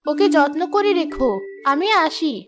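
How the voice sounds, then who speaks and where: A woman plays a helpful cartoon fairy with a high voice. She explains things gently and solemnly over clearly audible music. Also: measured pace. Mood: solemn, instructive. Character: kindly female knowledge fairy, helpful and solemn. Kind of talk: cartoon voice acting.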